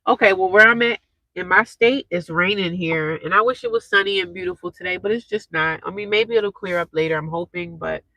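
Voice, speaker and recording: A woman's voice: fairly low pitch, fairly quick, sharp tone, no room sound, poor audio. A young woman, stuffed up and congested, chatting casually with viewers on a live stream through a cheap earphone mic. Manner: rambling, chatty, talks straight to viewers.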